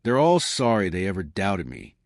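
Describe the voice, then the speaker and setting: A man's voice: low-pitched, wildly swooping pitch, normal speed, no echo, clean studio sound, heavily acted. A man voicing a small, fierce woman space captain in an audio story, wry, teasing and confident. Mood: defiant, teasing.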